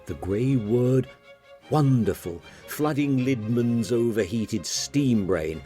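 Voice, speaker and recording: A man's voice: mid-range voice, expressive, takes it slowly, mellow, soft background music. A man narrating a literary audiobook in a dramatic style.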